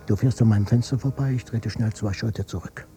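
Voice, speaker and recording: An actor playing a watchful, brooding son in a radio drama interior monologue, volatile in delivery and often dropping to hushed murmurs. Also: male voice, on the low side, steady tone, brisk, faint music behind, natural voice.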